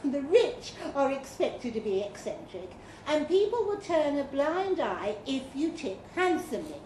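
A woman's voice: high-pitched, big pitch swings, a little slow, a little mellow. A woman giving a live reading of a comic guidebook in a dry, mock-official tone with playful emphasis. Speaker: reader at a live fiction event. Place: pub function room with live audience. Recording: roomy live pub recording with noise. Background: audience laughter and room noise.

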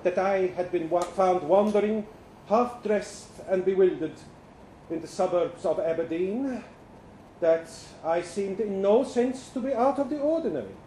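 A man's voice: high voice, unhurried, warm tone. A man reading a short story aloud as an earnest, bewildered professor from the future, speaking formally and hesitantly with precise diction. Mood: resigned, precise. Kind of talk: dramatic first-person short story reading. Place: indoor live literary reading event. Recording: roomy live venue, faint background noise.

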